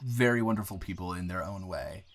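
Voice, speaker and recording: A young man acting an earnest, smitten guy new in town in an improvised audio roleplay, speaking intimately and haltingly with repeated words. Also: low-pitched, natural voice. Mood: earnest, tender. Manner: halting, rambling, with repeated words and nervous chuckles.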